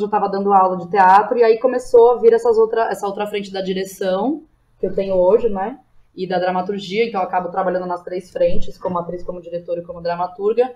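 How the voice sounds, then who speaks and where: A woman's voice: medium pitch, a little mellow. A woman in her thirties with a Brazilian accent, talking casually and candidly as an interview guest, often checking in with little tag questions. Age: 30s. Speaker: podcast guest, theatre teacher and playwright. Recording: clear background noise behind the voice.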